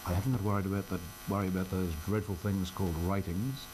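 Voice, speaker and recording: A man's voice: deep voice, quick pace, background noise. An older Australian man, a local radio presenter, chatting informally in an interview with a wry, self-deprecating manner. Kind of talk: casual interview chat. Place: radio studio.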